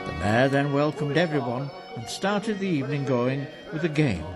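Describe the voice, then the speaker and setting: A man's voice: mid-range voice, moderate pace. A man reads scripted narration for a local newsreel as its narrator. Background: music.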